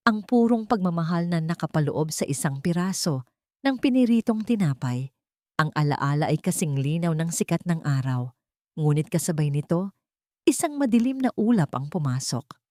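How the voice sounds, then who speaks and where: Female narrator, scripted love-story drama, slightly low pitch, very dry recording. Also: a little mellow, no room sound.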